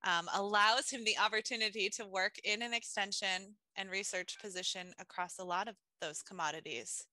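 A woman's voice: medium pitch, fairly even intonation, normal speed, few pauses, bright, forceful tone, no echo. A woman in her thirties, on a headset in an office, introduces a seminar speaker over a video call in a friendly way with frequent ums.

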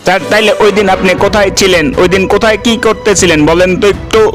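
Casting: man to play senior cartoon detective, questioning, high-pitched, over background music. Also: fairly even intonation, quick pace, lightly acted. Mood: probing. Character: inquisitive, puzzled senior male detective. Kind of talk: cartoon voice acting.

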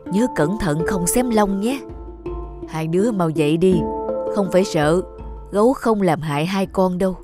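A woman's voice: fairly low pitch, measured pace, lightly acted. Woman playing a kindly old mother, music behind. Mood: reassuring. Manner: caring, gently instructing. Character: kindly elderly mother of two daughters. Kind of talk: animated fairy-tale dialogue. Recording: music under the voice.

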